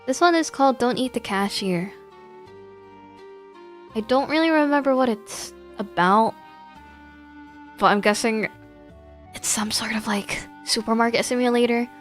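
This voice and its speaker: A woman's voice: on the high side, unhurried, long pauses, soft background music. A woman giving casual, informal livestream commentary on horror games, thinking aloud in a hesitant way. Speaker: video game livestreamer.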